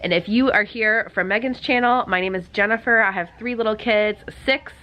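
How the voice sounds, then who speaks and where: A woman's voice: medium pitch, a little fast, muffled recording, slight background noise. A woman in her thirties with a stuffed-up head cold, chatting casually as she hosts a cleaning vlog. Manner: chatty, upbeat, with fillers.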